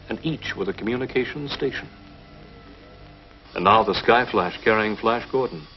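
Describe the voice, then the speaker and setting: A man giving dramatic, portentous scripted narration in 1950s television style. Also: mid-range voice. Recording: dull 1950s TV film soundtrack. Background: music.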